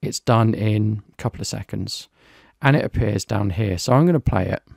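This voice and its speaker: British man, gravelly, dry close mic, walk-through tutorial read. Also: lowish voice, normal speed, a little mellow, no echo. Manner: plain, explanatory, lightly chatty. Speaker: software reviewer. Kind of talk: screen-recorded software tutorial.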